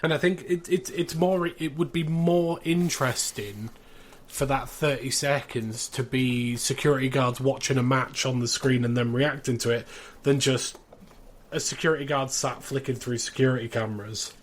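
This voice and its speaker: A man in a casual podcast chat, explaining his view in a measured, considered way. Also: medium pitch, fairly even intonation, a little hiss or hum. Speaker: podcast host.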